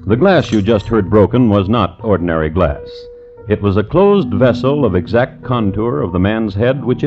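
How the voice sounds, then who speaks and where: Male radio host, low animated voice, dry droll crime narration, deliberate, muffled vintage recording with music. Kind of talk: old-time radio scripted crime narration.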